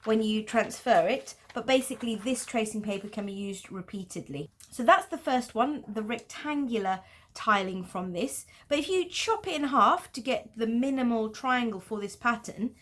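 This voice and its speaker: A woman's voice: on the high side, animated, normal speed, fairly bright tone. A woman with a Pakistani English accent, patiently explaining geometric drawing in a tutorial. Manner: patient, explanatory, with light laughs. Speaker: art teacher.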